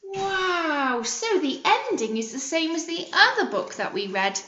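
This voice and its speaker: A woman reads a storybook to young children like a teacher, with a bright, very animated delivery. She prompts with simple questions and explanations, in an echoey room. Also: very high voice, unhurried. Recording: echoey room, slightly muffled.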